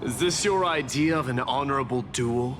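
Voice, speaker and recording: A man's voice: mid-range voice, moderate pace, noisy background, close to an everyday voice. A man playing a proud, stern warrior crown prince with a commanding, challenging manner. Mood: indignant.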